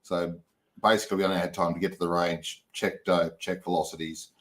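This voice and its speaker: Quick-talking Australian man, lowish voice. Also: little pitch movement, a bit bright. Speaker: guest, competition shooter. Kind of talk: casual chat.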